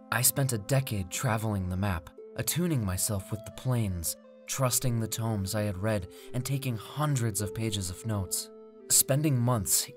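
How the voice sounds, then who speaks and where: A man voicing a driven wandering mage who tells his own life story in measured, theatrical storybook narration. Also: lowish voice, close to an everyday voice. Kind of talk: dramatic first-person fantasy story narration. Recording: music under the voice. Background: background music.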